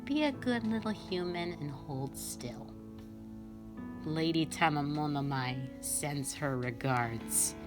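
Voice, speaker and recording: A woman's voice: sits low, animated, takes it slowly, music in the background. A woman voicing a sadistic, vengeful female fox spirit in a scripted audio drama, theatrical and condescending. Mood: cold, commanding.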